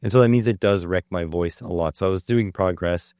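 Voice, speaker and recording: A middle-aged man hosting a solo electronics podcast, talking in a rambling, explanatory way with frequent fillers, his voice slightly hoarse. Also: low voice, a little fast, a little mellow, no room sound, studio quality. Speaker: podcast host. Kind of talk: solo educational podcast talk.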